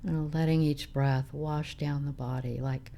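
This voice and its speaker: Slow, low, mellow woman guiding meditation. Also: a little hiss or hum. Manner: calm, soothing, unhurried with long pauses. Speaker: meditation teacher. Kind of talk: guided meditation.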